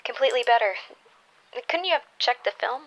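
A woman's voice: a little high, animated, a little fast, a bit bright, poor audio. An actress playing a wry, guarded female superhero in an audio drama, with terse, dry delivery.

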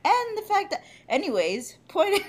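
A woman's voice: very high voice, a little slow, a little hiss or hum. Laughing, profane woman chatting. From Mexico. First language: Spanish. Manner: profane, laughs often, animated storytelling. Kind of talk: casual chat.

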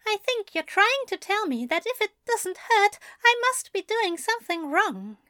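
A woman's voice: very high voice, very animated, normal speed, pushed and bright, no room sound, studio quality, full character voice. A woman voicing a fussy, flustered, self-deprecating male angel in audio fiction, speaking in a prim, hesitant, polite manner. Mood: grumbling, reluctant. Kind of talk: audio fiction character acting.

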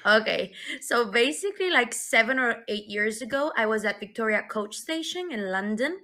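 A bright, fairly high female voice from Romania. She tells an anecdote casually, filling it with "like" and "blah, blah.". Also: expressive, normal speed. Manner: chatty, uses fillers like "like" and "blah". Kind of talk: casual storytelling clip.

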